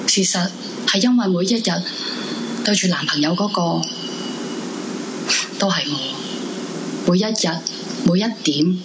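A woman's voice: on the low side, slow, long pauses, noisy background. A woman acting in a Hong Kong Cantonese radio drama, playing a heartbroken, lovesick young woman. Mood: wistful, hurt. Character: heartbroken, lovesick young woman narrating her sleepless longing. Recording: music bed under the voice.

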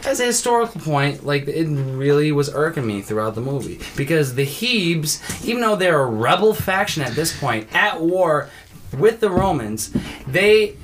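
A man's voice: fairly high pitch, fairly bright tone, slight background noise. A man co-hosting a casual movie review, ranting in a crude, profane way. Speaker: podcast co-host.

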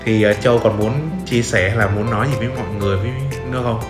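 A man's voice: on the low side, fairly even intonation, very fast. A young man hosting a casual interview podcast, agreeable and checking in with his guest. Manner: agreeable, frequent short acknowledgements. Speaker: podcast host. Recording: roomy echo, faint music behind. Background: faint music.

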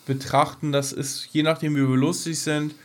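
Higher-pitched man in casual podcast chat. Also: level tone, normal speed. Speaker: podcast co-host.

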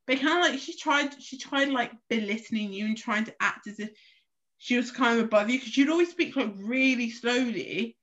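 A woman's voice: a little high, moderate pace, fairly bright tone. A young woman, telling a story in a casual podcast chat and often restarting her sentences. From England. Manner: chatty storyteller, restarts a lot. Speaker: podcast co-host. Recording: slightly muffled lo-fi recording.

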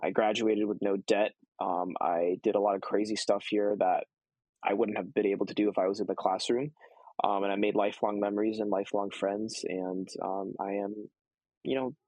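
A man's voice: lowish voice, flat delivery, moderate pace, a little mellow, no echo, low-quality recording. A young man answering interview questions casually, with many ums and restarts. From United States. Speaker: guest.

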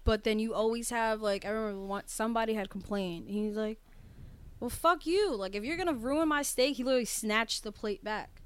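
A young adult woman co-hosting a podcast, talking in a chatty, animated way with plenty of swearing. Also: a little high, smooth. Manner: chatty, animated, profane, quick to laugh. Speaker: podcast co-host. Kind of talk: casual chat.

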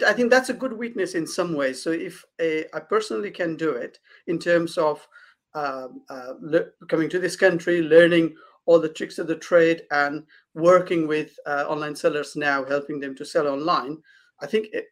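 A man's voice: a little high, moderate pace. A man from Nepal, a guest consultant, answering interview questions hesitantly with many fillers and restarts.

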